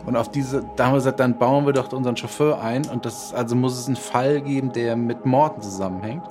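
A man who is an author talks casually, thinking aloud with halting restarts. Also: mid-range voice, music in the background. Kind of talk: casual interview talk.

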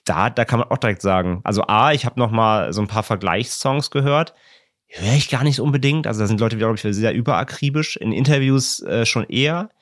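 A man co-hosting a podcast, explaining things in a relaxed, rambling way with many repeats. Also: mid-range voice, a little fast, no echo, studio quality. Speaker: podcast co-host. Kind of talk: casual podcast chat.

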